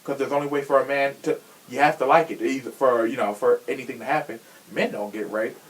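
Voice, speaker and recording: A man's voice: mid-range voice, fairly even intonation, fast talker, rough recording. A young man hosting a podcast in a casual, opinionated chat, talking in a sassy, slangy and emphatic way. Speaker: podcast host.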